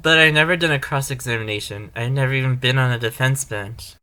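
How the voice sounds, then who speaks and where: An actor playing a sarcastic, self-doubting amateur defender in a courtroom audio drama, given to exclamations. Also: male voice, medium pitch, quick pace, bright, forceful tone, recorded from a distance, close to an everyday voice. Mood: anxious.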